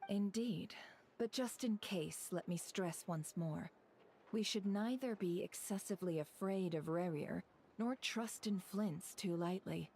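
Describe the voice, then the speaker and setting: A lowish-pitched woman strategist, composed and discreet. She reasons out loud in deliberate, articulate phrases, with faint background noise behind her. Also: rough recording. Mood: measured warning. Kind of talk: scripted game dialogue.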